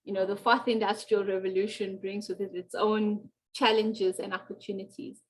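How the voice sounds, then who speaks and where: A woman's voice: medium pitch, little pitch movement, normal speed, poor audio. A woman from South Africa moderating a panel discussion, with a chatty, complimentary way of talking.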